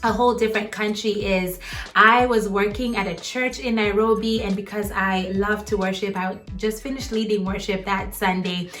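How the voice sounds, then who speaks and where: A woman's voice: mid-range voice, steady tone, normal speed, fairly bright tone, faint music behind. A young woman from Uganda talking earnestly to camera in a faith vlog, with small laughs between her phrases.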